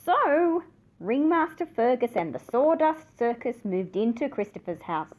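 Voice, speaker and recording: A woman reading a children's picture book aloud with expressive, dramatic emphasis. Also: on the high side, a little slow, low-quality recording. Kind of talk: scripted children's story narration.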